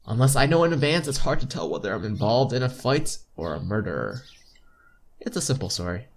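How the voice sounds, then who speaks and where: A young man reading visual novel text aloud as a playthrough host, informally, stumbling now and then and correcting himself, with casual asides. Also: medium pitch. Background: game music.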